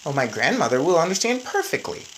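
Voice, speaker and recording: A woman's voice: low voice, big pitch swings, quick pace. A woman plays a practical young mouse boy from a storybook, earnest and persuasive. The lines rise and fall animatedly as he explains things, over faint room noise.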